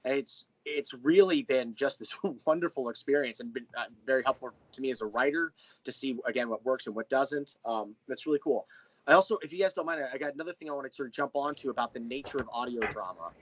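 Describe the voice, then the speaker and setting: A man's voice: mid-range voice, fast, fairly bright tone, low-quality recording. Enthusiastic man explaining as interview guest. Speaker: interview guest, audio drama writer and creator. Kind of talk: casual interview chat.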